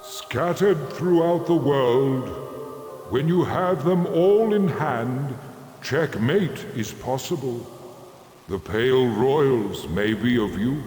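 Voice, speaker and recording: An actor playing a solemn, riddling oracle in a video game, with stately, declamatory delivery. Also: male voice, medium pitch, animated, slow, darkish tone, faint music behind. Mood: stately, foreboding. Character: solemn, riddling oracle reciting prophecy in rhyme. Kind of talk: scripted video game dialogue. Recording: echoey, long hall reverb.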